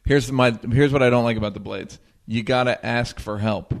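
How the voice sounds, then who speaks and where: A man's voice: mid-range voice, steady tone, normal speed, vocal fry. A man co-hosting a comedy podcast, tossing in dry, wry asides. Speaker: podcast co-host. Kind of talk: casual banter.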